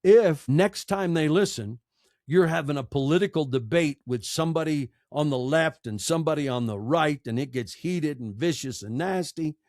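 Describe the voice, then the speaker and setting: A man's voice: on the high side, moderate pace, darkish tone, no echo. Emphatic man in a dry, close recording. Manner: emphatic, rhetorical questions and repeated phrases for effect. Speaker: podcast guest, broadcaster and audiobook narrator. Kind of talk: conversational interview with storytelling.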